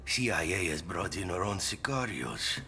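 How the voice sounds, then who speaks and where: An actor playing a bitter, despairing South American man, hushed and grave, in a game cutscene. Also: low-pitched, sing-song, moderate pace, vocal fry, exaggerated voice. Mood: bitter, grieving. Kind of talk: dramatic game cutscene acting.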